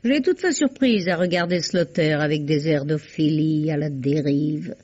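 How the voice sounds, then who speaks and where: A woman's voice: deep voice, normal speed, a little mellow, slightly rough. A cultured, gossipy society lady in an old radio whodunit. She speaks with dry irony and careful articulation. The recording is somewhat dull and dated. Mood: composed, arch. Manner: arch, ironic, articulate. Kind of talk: vintage French radio mystery acting.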